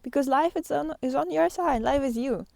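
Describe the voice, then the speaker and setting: A woman giving an unscripted, reflective spiritual monologue, thinking aloud with pauses and earnestly repeating key phrases. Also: high voice, creaky, darkish tone, no room sound. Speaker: spiritual teacher and artist.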